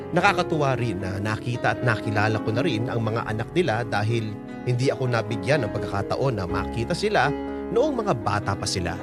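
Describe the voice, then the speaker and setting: Actor as middle-aged filipino guy telling his story in a radio drama, wistful, lively pitch, loud music behind. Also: natural voice. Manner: reflective, confessional. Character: wistful middle-aged man narrating his own life. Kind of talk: first-person radio drama narration.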